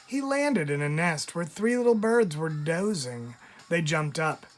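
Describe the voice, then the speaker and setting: A man, a teacher, reading a picture book aloud in an expressive storytelling style, stressing key words. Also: on the high side, a little slow. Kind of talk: expressive read-aloud narration for children. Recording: music under the voice. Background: music.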